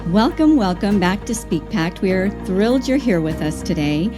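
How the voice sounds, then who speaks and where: A woman's voice: medium pitch, lively intonation, moderate pace, over music. A middle-aged woman hosting a podcast, welcoming her guest with enthusiasm. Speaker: podcast host. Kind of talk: interview hosting.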